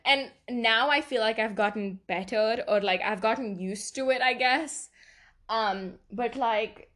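A woman's voice: fairly high pitch, measured pace, fairly bright tone. A woman with a noticeable accent hosting her own podcast, rambling and laughing at herself as she chats. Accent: non-native accent. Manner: rambling, self-deprecating, laughs often. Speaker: podcast host. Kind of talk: casual solo podcast chat.

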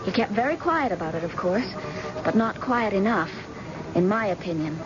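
Actress as a grave, bitter daughter on noisy old radio. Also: female voice, mid-range voice, lively intonation, lo-fi sound, natural voice. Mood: solemn. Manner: deliberate, solemn storytelling. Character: grave, bitter grown daughter, guarded and deliberate. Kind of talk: old-time radio drama acting.

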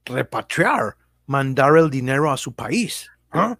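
Radio comedy: officious cop, medium pitch, mocking and pushy, slips English into his Spanish. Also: very animated, slow pace, creaky, a bit bright, heavily acted. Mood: sly, mocking. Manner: mixes English into Spanish, sardonic. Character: smug, officious New York policeman, bullying a foreigner. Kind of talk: comic radio drama acting.

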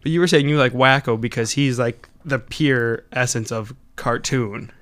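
A man's voice: medium pitch, a bit bright, dry recording. A young man with a Wisconsin accent, co-hosting a podcast in casual, rambling chat, often restarting his thoughts. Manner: rambling, self-correcting, quick to laugh. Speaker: podcast co-host.

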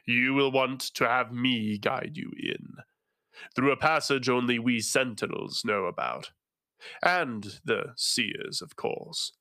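A resonant, tentacled male illusionist speaks in slow, measured, grave tones, as a male narrator gives him voice. The sound is bright and dry, with no room around it. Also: medium pitch, no echo.

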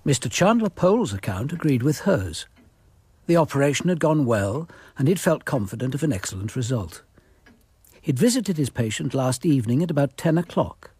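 An actor playing a composed police commander in a radio drama, measured, formal and courteous but firm. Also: male voice, mid-range voice, sing-song, a little mellow, slightly rough, dry recording. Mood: matter-of-fact. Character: composed, authoritative male police commander questioning suspects. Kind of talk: radio drama acting and first-person narration.

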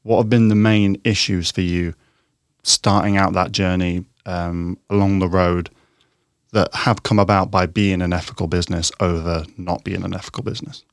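A man with a deep, low voice hosts a podcast, framing his questions slowly and thoughtfully, often circling back before he lands on a point. The sound is close and dry. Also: level tone, no room sound. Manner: thoughtful, measured, rambling questions. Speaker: podcast host. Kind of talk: podcast interview.

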